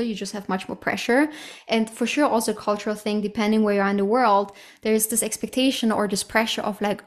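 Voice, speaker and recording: A woman in her thirties hosting a solo podcast, talking candidly and casually, with frequent fillers and the odd swear word. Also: on the high side. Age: 30s. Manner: candid, casual and lightly profane, with frequent "like" and "right?". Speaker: podcast host. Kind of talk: reflective solo podcast monologue.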